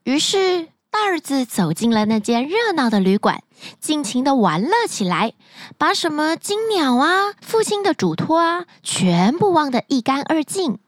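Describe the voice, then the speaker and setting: A woman narrating a children's bedtime fairy tale in an expressive, sing-song storytelling manner, recorded close to the mic. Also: high-pitched, a little slow, dry recording.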